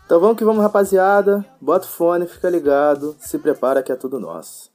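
A man co-hosting a podcast, reading questions and welcoming the guest with energetic, slangy hype. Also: a little high, fairly quick, faint music behind. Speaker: podcast co-host. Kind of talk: scripted podcast introduction.

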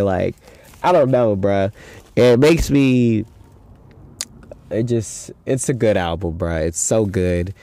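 A man's voice: mid-range voice, dark tone, clear, resonant tone, dry recording. A young man hosting a casual solo podcast, talking in a loose, rambling, slangy way with a stuffy nose from a head cold. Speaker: podcast host.

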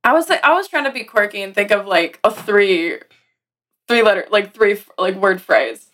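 A woman's voice: medium pitch, lively intonation, moderate pace, fairly bright tone. A woman co-hosting a podcast in a casual group chat, with some noise on the recording. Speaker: podcast co-host. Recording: noticeable background noise.

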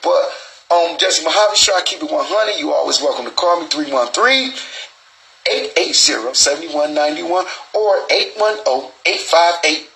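A man's voice: fairly high pitch, lively intonation, normal speed, creaky, sharp tone, low-quality recording. A man, hosting his own show in a rambling, profane, preachy street monologue full of restarts and stutters. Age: 40s. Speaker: show host. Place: small recording booth.

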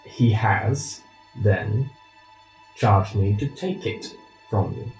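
An actor playing a coldly formal, insistent and menacing royal chancellor in an audio drama. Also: male voice, lowish voice, very slow, darkish tone. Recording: echoey, slightly dull, music behind.

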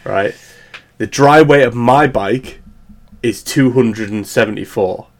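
A man's voice: mid-range voice, slow. Man, early thirties, casual co-host, rambling, lots of um and like. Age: 30s. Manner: rambling, many fillers, laughs at his own jokes. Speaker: podcast co-host. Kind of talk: casual chat.

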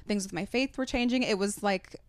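A woman in her mid-twenties from Utah, a podcast guest telling lively stories in casual chat. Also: mid-range voice, brisk, no room sound. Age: 20s. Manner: animated storyteller, acts out quoted voices.

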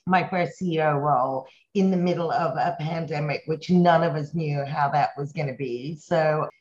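A woman's voice: low-pitched, normal speed, darkish tone, lo-fi sound. A woman in her fifties who grew up in Hong Kong, a company chief executive answering interview questions in an earnest, reflective way. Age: 50s. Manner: earnest, reflective, speaks in long run-on thoughts. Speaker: company CEO, interview guest.

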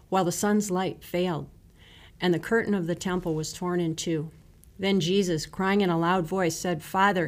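A woman reading scripture and a written reflection in a measured, solemn manner. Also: on the low side, darkish tone. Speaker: devotional reader.

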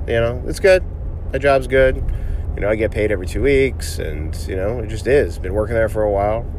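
A man's voice: medium pitch, darkish tone. A middle-aged man speaks with a congested, sniffly voice, nasal from a cold, as he hosts his own podcast. His talk is reflective and encouraging, dotted with ums and restarts. Faint street and car noise sits under the voice. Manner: reflective, motivational, halting with fillers and restarts. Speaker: podcast host, physician. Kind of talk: casual solo podcast monologue.

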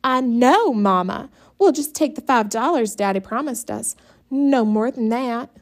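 A woman's voice: fairly high pitch, lively intonation, measured pace, voice pushed up. A woman voicing a prim, bossy older sister who corrects and wheedles. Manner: prim, syrupy sweet when wheedling.

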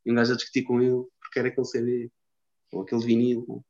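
Homem lisboeta calão, som abafado. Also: male voice, medium pitch, monotone, racing, a little mellow. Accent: Lisbon Portuguese. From Lisbon, Portugal. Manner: slangy, swears a lot, rambling. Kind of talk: casual chat. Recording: dull lo-fi sound.

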